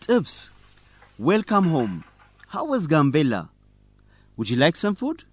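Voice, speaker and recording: Actor as a lively, principled young male student journalist. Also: a little high, slow, exaggerated voice. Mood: cheerful, teasing. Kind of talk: educational radio drama acting.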